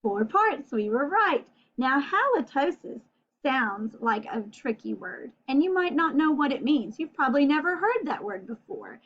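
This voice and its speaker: A woman teaching young children in a read-aloud vocabulary lesson, expressive and sing-song, drawing out key words and checking in with questions. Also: high voice, normal speed, muffled recording. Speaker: children's teacher and storybook reader.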